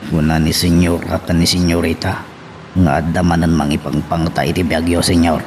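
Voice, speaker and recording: A man's voice: low-pitched, level tone, moderate pace, close to an everyday voice. A man playing a wary henchman who defers to his master in an audio drama. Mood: wary, deferential. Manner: deferential, hesitant, drawn-in openers. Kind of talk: radio-style Ilocano drama acting. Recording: faint background noise.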